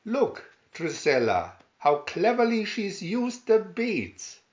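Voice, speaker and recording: Man voicing a sly stepmother, raised pitch. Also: very animated, slow pace, highs cut off, full character voice. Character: cunning, cruel stepmother in a fairy tale.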